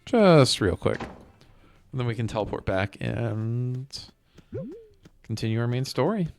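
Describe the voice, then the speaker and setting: A man's voice: mid-range voice, lively intonation, unhurried, creaky, slight background noise. Casual male game streamer thinking aloud. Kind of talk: casual live gameplay commentary. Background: game music.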